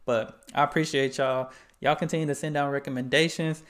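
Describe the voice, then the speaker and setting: A man's voice: a little high, fairly even intonation, brisk. A young American man in casual reaction commentary, laid-back and slangy, talking into a headset at home. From United States. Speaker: reaction channel host.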